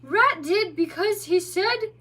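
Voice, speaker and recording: A woman's voice: extremely high pitch, lively intonation, pushed and bright, voice pushed up, heavily acted. A woman voicing a frightened, half-grown male otter who stammers and slurs as he drifts toward sleep. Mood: faltering. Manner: halting, stammering, slurred.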